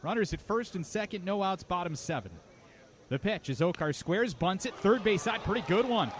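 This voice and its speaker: Man, live baseball radio commentary, fairly high voice, descriptive play-by-play, noticeable outdoor background noise. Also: expressive, normal speed. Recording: clear outdoor ambient noise behind. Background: outdoor ballpark ambience.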